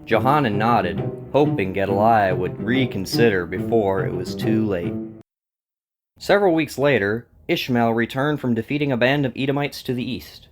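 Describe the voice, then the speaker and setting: A man's voice: fairly low pitch, moderate pace. Male story narrator over faint music. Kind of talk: scripted dramatic story narration.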